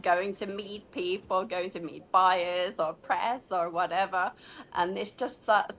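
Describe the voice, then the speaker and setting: A woman's voice: medium pitch, bright, forceful tone, rough recording. A woman from Finland, a shoe designer, answering interview questions in a chatty way, full of "like" and light laughs. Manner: chatty, many "like" fillers, laughs between phrases.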